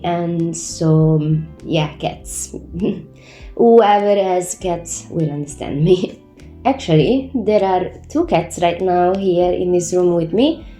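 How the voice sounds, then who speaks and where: A woman's voice: on the low side, mellow, echoey room. A woman, a native Italian speaker, hosting her own channel in casual chat, sounding congested from a cold, chatty and self-correcting. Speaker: Sims builder, channel host. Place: room at home. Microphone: new microphone.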